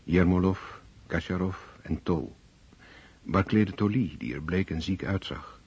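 A man narrates a literary radio drama in a scripted, storytelling style. His voice is very deep and dark, with a heavily husky grain. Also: a little slow, dry recording, muffled recording.

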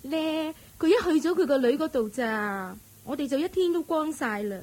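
An actress playing a gossipy young daughter-in-law in a Hong Kong Cantonese radio drama, chatty and boastful. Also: female voice, high-pitched, expressive, normal speed, a little mellow. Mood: complaining. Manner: chatty, complaining.

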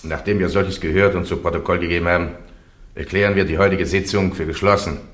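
A man acting a stern, formal presiding church judge in a German radio play, speaking in measured proclamations. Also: low voice, fairly even intonation, a bit bright. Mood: formal, commanding. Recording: clearly noisy old radio recording with clicks.

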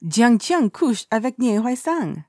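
A woman reading the part of a playful, nosy, dramatically indignant young man in a story, animated and mischievous. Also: on the high side, slow pace, no echo, clean studio sound, pitched above the performer's own voice, heavily acted.

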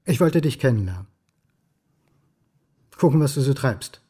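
An embattled academic in a radio crime drama. The voice is dark, husky and closely dry, and he speaks in terse, clipped phrases, stubborn and suspicious. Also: medium pitch, animated, normal speed, no room sound. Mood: brooding. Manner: terse, clipped sentences, insistent questioning. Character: embattled male history lecturer, brooding, stubborn and suspicious. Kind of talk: naturalistic radio drama with interior monologue.

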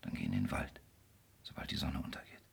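An actor playing an anguished, protective man in a radio drama, urging his beloved in a hushed, breathy, gravelly voice. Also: a little fast, in a whisper, close to an everyday voice. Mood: urgent, protective. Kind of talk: intimate radio drama acting.

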